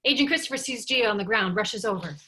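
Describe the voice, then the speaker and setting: A woman's voice: medium pitch, expressive, fast talker, sharp tone. A woman narrator reading stage directions from a script at a table read.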